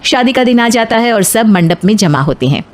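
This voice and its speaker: Female narrator for scripted moral tales, higher register, highly expressive intonation. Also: a little fast. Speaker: storyteller. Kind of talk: scripted Hindi moral-story narration with acted dialogue.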